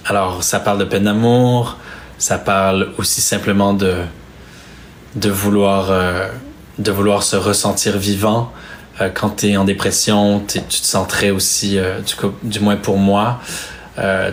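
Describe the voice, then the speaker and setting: A young Québécois man talking on a live stream in a low, flat voice. He speaks softly and hesitantly, and a faint room hum sits behind him. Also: measured pace. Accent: Québécois French. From Quebec, Canada. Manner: soft-spoken, hesitant, with many fillers and restarts. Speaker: singer streaming live to fans. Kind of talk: casual live-stream chat. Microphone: phone or webcam on a live stream.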